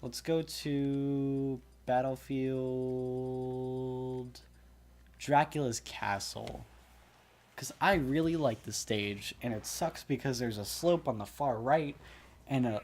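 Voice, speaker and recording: A man's voice: mid-range voice, takes it slowly, a little mellow. A man with a stuffy, congested nose, casually commentating a game tournament, rambling with lots of ums and restarts.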